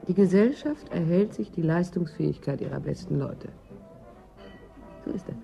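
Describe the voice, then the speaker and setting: Cool, low-voiced shrewd agent. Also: female voice, lively intonation, moderate pace, vocal fry, mellow, soft background music. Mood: matter-of-fact. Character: shrewd, self-assured female undercover government agent. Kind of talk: German science-fiction radio play acting. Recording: dull lo-fi radio play recording.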